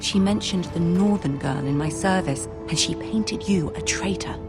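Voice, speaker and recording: A woman playing a poised, gracious young noblewoman soon to be queen in a fantasy game drama, measured and formal. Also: lowish voice, over loud music, close to an everyday voice. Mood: measured, persuasive. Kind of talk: video game drama acting.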